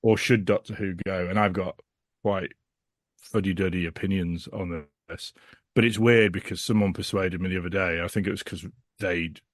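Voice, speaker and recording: A man with a fairly low voice, recorded dry with no room sound. He talks as a podcast guest in a casual, opinionated and blunt conversational way. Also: steady tone, normal speed, no echo. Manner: blunt, sweary.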